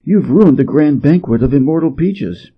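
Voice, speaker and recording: A man's voice: a little high, expressive, normal speed, slightly rough, dull audio. A man voicing an angry, menacing heavenly general in a storybook, bellowing with heavy emphasis. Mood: furious.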